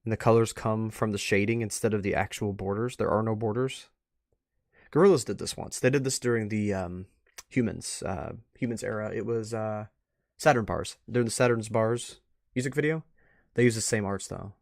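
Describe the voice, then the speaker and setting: A man's voice: lowish voice, moderate pace, vocal fry, no room sound, clean studio sound. A man giving casual, off-the-cuff commentary as a stream host, rambling and musing aloud. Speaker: host of a live art review stream.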